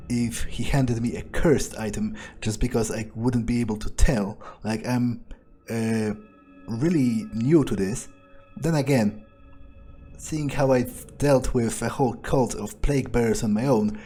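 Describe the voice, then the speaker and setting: A man role-playing a cocky, newly turned young vampire in a video game, musing casually and sardonically with hesitant pauses. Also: medium pitch, warm tone, natural voice. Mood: musing, wry. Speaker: gamer role-playing his character. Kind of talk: in-character video game playthrough, improvised musing.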